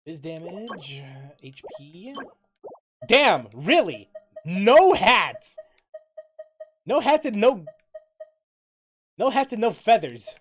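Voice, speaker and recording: Animated game streamer with lively, swinging pitch. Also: drawn-out words, pauses often, faint music behind. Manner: thinking aloud, repeats phrases, breaks into loud exclamations. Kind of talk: casual livestream chat and gameplay commentary.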